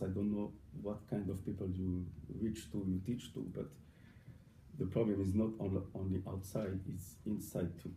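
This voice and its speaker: Gravelly, halting rabbi with a dark voice. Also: male voice, deep voice. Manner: halting, repeats words, mixes Hebrew and Yiddish terms. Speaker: rabbi teaching family purity law. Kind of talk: informal lesson. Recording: echoey room, faint background noise.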